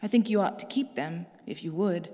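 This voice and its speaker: A bashful, gentle husband as a woman reader puts him on, soft and hesitant, his sentences trailing off. A long reverb follows each phrase. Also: lowish voice, moderate pace, lightly acted. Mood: shy.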